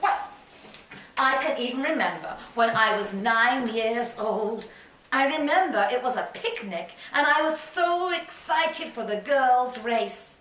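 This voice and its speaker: A spirited older suffragist, played by a woman, looks back on her fight in an unhurried, chatty monologue. Her voice sits high and she laughs easily between thoughts. The sound is roomy and heard from some distance off the mic. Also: bright, forceful tone, full character voice. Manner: chatty, reminiscing, laughs often. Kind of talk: theatrical monologue in character.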